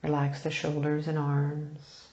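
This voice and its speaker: A woman leading a guided meditation, speaking softly and soothingly in unhurried phrases with long pauses, turning breathy at phrase ends. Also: low-pitched, completely flat delivery, echoey room, lo-fi sound. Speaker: meditation teacher.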